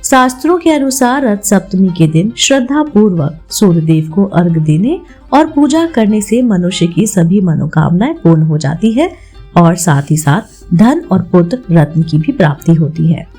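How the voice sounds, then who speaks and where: A woman's voice: fairly low pitch, expressive, moderate pace, warm tone, husky, soft background music. A woman hosting a devotional explainer, telling religious tales and instructing listeners as friends. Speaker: host of a devotional channel. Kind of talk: devotional explainer narration with storytelling.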